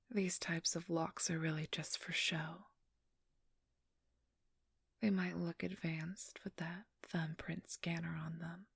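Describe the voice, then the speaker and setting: Slow, whispering, smug vampire thief played by a woman. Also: fairly low pitch, no echo, heavily acted. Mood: haughty, teasing. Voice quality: breathy, husky whisper. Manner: condescending, teasing, drawn-out delivery. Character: ageless female vampire thief, smug, teasing, haughty. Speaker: ASMR role-play performer. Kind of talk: ASMR role-play, whispered. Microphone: close, dry microphone.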